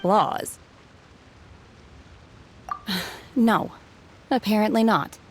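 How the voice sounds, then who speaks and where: Slow, cool, aloof young woman. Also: medium pitch, noisy background. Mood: flat, candid. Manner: cool, deadpan, unhurried. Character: aloof, stoic young woman raised apart from society, blunt. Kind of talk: anime video game dialogue.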